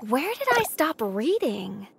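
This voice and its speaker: A woman's voice: high voice, sing-song, slow, creaky, very noisy, full character voice. An actress voicing a playful, confident young female gunfighter in a video game, with smug, taunting delivery. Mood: cocky, teasing. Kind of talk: video game battle lines.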